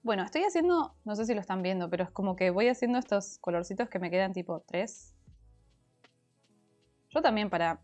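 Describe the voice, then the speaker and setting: A woman's voice: mid-range voice, animated, a little fast, a little mellow. Woman, Rioplatense Argentine accent, casual livestream chat, explains at length, slightly congested, faint background music. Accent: Rioplatense Argentine Spanish. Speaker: streamer and former language teacher. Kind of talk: casual livestream chat reading comments while crafting.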